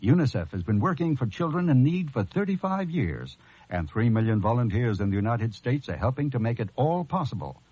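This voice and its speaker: A man's voice: medium pitch, very animated, brisk, husky, no echo. A man reading a scripted public service announcement in an earnest, composed way. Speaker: charity announcement narrator. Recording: dull, dry radio broadcast.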